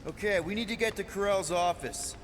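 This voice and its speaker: A man's voice: sits high, a little fast, long reverb tail, faint music behind, lightly acted. An actor playing an easygoing, wisecracking young male space adventurer in a video game, casual and boyish. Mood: wry, focused.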